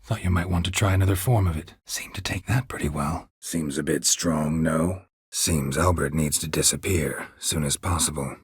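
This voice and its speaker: A man voicing a gruff, stoic, dry-witted monster hunter in a video game, in a husky rasp with a terse, deadpan manner. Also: very deep voice, normal speed, few pauses, no echo, exaggerated voice. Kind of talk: video game character dialogue.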